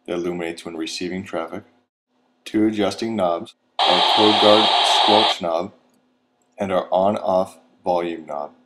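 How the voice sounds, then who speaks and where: A man's voice: low voice, a bit bright, a little hiss or hum. A man reading scripted instructional narration in a plain, step-by-step, procedural manner.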